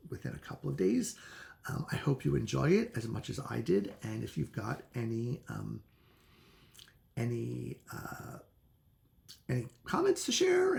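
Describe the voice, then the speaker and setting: A man with a mid-pitched voice and very heavy creak gives an informal book review. His intonation is animated and his opinions come through with enthusiasm. He speaks off the cuff, often hesitating as he hunts for the right word. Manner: hesitant, searching for words. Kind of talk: casual unscripted book review to viewers.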